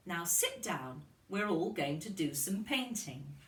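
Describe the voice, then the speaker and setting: A woman's voice: lowish voice, expressive, takes it slowly, a little hiss or hum, exaggerated voice. A woman reading a children's storybook, voicing a kind, encouraging female primary school teacher. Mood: kindly. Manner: encouraging, gently instructive. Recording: roomy, distant microphone.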